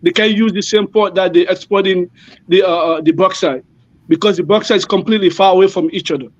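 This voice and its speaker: Brief: man from Guinea, native French speaker, high voice, halting explainer, dull dry lo-fi recording. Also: no echo. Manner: halting, many fillers and restarts. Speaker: panel guest. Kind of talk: casual explanatory talk.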